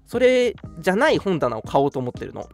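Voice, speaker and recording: High-pitched, lively man chatting over faint music. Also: fast talker, gravelly. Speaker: radio show co-host. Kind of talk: casual chat. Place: soundproof booth at home. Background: background music.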